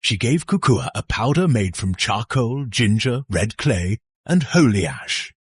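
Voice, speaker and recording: Husky male storyteller, slow and dramatic. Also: mid-range voice, very animated, no room sound, clean studio sound. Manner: suspenseful, theatrical delivery with dramatic pauses. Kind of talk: dramatic scripted horror folktale narration.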